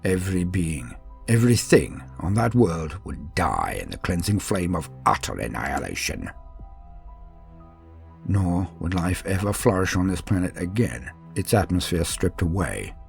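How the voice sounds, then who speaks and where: A man narrating scripted stories as host, in a theatrical, measured and formal manner. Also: sits low, big pitch swings, gravelly, soft background music. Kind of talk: dramatic scripted horror storytelling.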